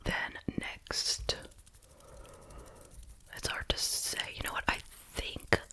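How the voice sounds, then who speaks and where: A woman speaking in a breathy, unvoiced whisper, chatting and musing through an ASMR food review. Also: slow pace, bright, forceful tone. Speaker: host reviewing snacks.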